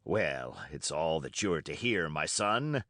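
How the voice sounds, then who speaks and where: A gruff, crafty old pirate cook, as a male storyteller puts him on, low and commanding, with a slow, menacing drawl. His delivery rises and falls with threat, and the sound is close and dry. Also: very animated, a bit bright, no room sound, heavily acted. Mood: sly.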